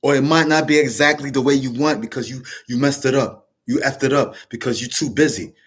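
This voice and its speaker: Male vlog host, mid-pitched, rambling and repetitive with many restarts. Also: brisk, slightly rough. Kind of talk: casual motivational monologue.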